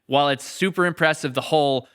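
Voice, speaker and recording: Bright-voiced man in casual podcast conversation. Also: a little high, quick pace, clear, resonant tone. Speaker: podcast co-host, series creator and writer.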